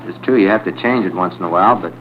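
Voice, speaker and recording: A man's voice: sits low, racing, lo-fi sound, a little hiss or hum. An American man talking casually at a bar, unaware of a hidden microphone, on a 1940s recording. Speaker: bar patron.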